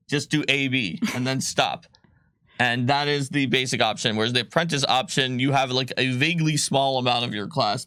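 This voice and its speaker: A man's voice: mid-range voice, sharp tone, no room sound. A man co-hosting a casual livestream, explaining game rules with many fillers and restarts. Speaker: game designer co-host. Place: studio.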